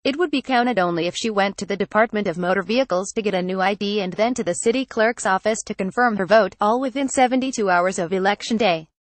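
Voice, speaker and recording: A woman's voice: mid-range voice, quick pace, few pauses, dry recording. Woman narrating a news feature, dry. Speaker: narrator reading a magazine article.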